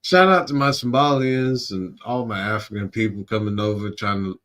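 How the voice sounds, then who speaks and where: A man's voice: on the low side, normal speed, fairly bright tone. A man hosting a livestream with casual, rambling, slangy commentary from his home studio room. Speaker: livestream host.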